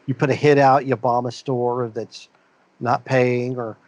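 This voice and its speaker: A man's voice: mid-range voice, little pitch movement, a little slow, a little mellow, no echo. A middle-aged man from the American Midwest, co-hosting a casual podcast chat. He rambles, restarts his sentences and leans on fillers as he reminisces. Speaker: podcast co-host.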